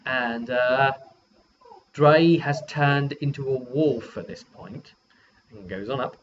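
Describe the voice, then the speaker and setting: Man, mid-pitched voice, rambling game master recap, casual storytelling, dull lo-fi sound, faint background noise. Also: takes it slowly. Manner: rambling, acts out quoted dialogue informally. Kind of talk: casual recounting of a role-playing session.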